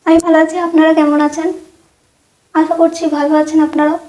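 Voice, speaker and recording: A woman's voice: high-pitched, little pitch movement, fairly quick, dark tone, poor audio. A woman from India with an Indian Bengali accent, chatting casually as a reaction channel host.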